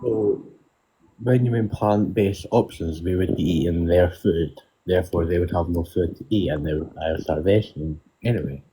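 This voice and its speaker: An eighteen-year-old man calling in by phone, mumbling flatly. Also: deep voice, moderate pace, breathy edge. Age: teens. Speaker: caller. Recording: distant noisy phone call.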